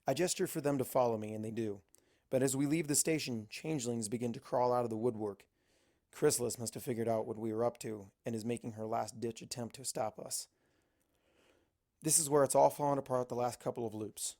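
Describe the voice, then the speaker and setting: An amateur reader narrates a fantasy story in the first person. The voice sits at a middling pitch, in a plain audiobook style. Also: steady tone, a little fast, warm tone. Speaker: amateur fan fiction narrator.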